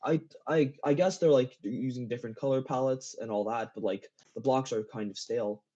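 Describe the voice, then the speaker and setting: A man in a casual gaming chat, speaking haltingly as a guest, repeating phrases and often giggly. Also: medium pitch, mellow. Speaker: interview guest, game map builder. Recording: dull lo-fi voice chat.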